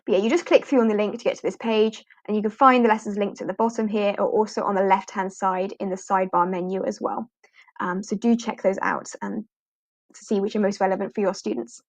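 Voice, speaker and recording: A woman's voice: medium pitch, steady tone, brisk, no room sound. A British woman from the UK, an online English teacher, rambling chattily through a live walkthrough, with fillers and light laughs. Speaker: online ESL teacher hosting a livestream.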